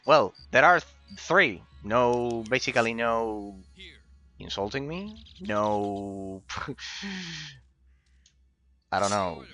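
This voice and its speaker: A man's voice: mid-range voice, animated, extremely slow, long pauses, sharp tone. A man from Argentina with an Argentine Spanish accent, a native Spanish speaker, chatting casually and very politely with live-stream viewers and often repeating words for emphasis. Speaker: game streamer. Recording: faint game music behind voice. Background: faint music.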